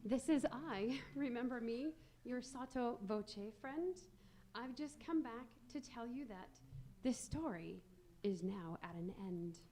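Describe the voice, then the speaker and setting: A woman playing a confiding storyteller with a hushed theatrical delivery in amateur radio theater. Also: on the high side, expressive, a little hiss or hum. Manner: slow, sotto voce theatrical delivery. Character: confiding, hushed storyteller friend closing the tale.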